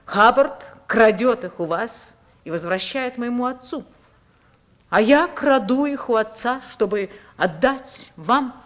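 A young upper-class wife in a radio drama. Her voice sits fairly high, with careful stage diction. She speaks with secretive resolve and strong feeling. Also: expressive, slow pace. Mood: resolute. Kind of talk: theatrical Russian radio drama acting.

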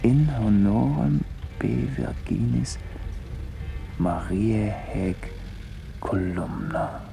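A man's voice: on the low side, lively intonation, extremely slow, vocal fry, over music. A man reading literary scripted narration in a detached, observational manner for a radio play.

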